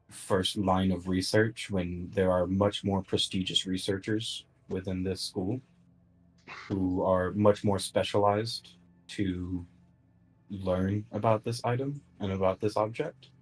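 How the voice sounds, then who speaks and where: Man as a cautious male adventurer, low husky voice, measured, insistent, earnest, tabletop roleplay. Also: heavily acted, poor audio.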